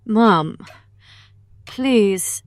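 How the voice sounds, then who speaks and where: Woman acting a very slow-spoken, frightened daughter. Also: mid-range voice, animated, dry recording. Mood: guilty, pleading. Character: frightened young woman, guilt-ridden daughter in danger. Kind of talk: horror audio drama acting.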